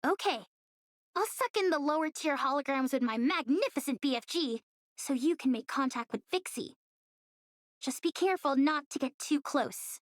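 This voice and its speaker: Animated, high-voiced playful game heroine. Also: female voice, moderate pace, no room sound, studio quality. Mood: confident. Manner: perky, theatrical, inquisitive. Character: eager, playful, game-loving young heroine. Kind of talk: video game cutscene acting.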